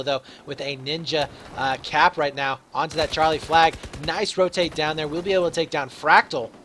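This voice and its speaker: Young male shoutcaster, slightly raspy, voice sits a bit high, loose filler-heavy delivery over a faint background hum. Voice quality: slightly raspy from a long day. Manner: chatty, fills with "uh", grows excited on big plays. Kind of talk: solo esports play-by-play commentary.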